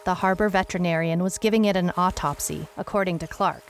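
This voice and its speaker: Female detective narrator, played by an actress: slightly low voice, skeptical, musing, sardonic, audio mystery serial with underscore. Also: fast talker, soft background music, close to an everyday voice. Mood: puzzled, uneasy. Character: wry, skeptical private detective woman on vacation. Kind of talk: first-person scripted detective story narration.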